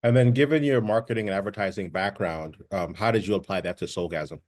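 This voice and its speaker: A man's voice: on the low side, fast, a little mellow. A man hosting an interview, asking brief, plain questions. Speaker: podcast host, interviewer.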